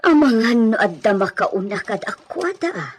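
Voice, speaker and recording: A woman playing a money-hungry, resentful village gossip in an Ilocano audio drama, with a husky voice. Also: medium pitch, sing-song, normal speed. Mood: curious.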